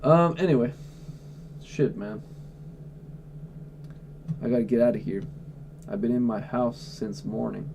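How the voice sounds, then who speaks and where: A man's voice: medium pitch, unhurried, creaky, long pauses, dark tone, slight background noise. A man livestreaming from home, answering chat questions in a blunt, offhand way and swearing freely. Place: at home indoors.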